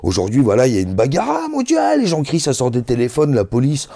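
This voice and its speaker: A man's voice: mid-range voice, big pitch swings, fast talker, warm tone, no echo, clean studio sound. A man in his thirties, not a native French speaker, chatting loosely and slangily as a rapper guest in a sit-down interview, full of "tu vois" fillers. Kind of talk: casual interview chat.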